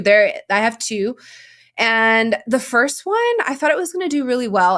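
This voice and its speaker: A woman's voice: medium pitch, a bit bright. Direct woman, Spanish her first language. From Venezuela. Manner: direct and upbeat. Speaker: podcast guest, cycling coach. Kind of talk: casual interview chat.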